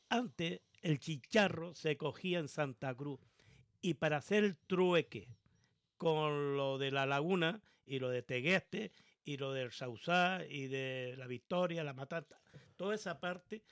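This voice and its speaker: Higher-voiced Canary Islands man, colloquial and teasing. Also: fairly bright tone, no echo, clean studio sound. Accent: Canary Islands Spanish. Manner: colloquial, teasing, acts out bits of dialogue. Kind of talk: casual anecdotal chat.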